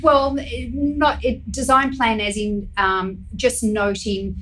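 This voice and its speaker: A woman's voice: a little high, slow, poor audio. A middle-aged woman, a home stager and interior designer, talking casually in an interview and telling her story with animation. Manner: chatty storyteller, animated when recounting events.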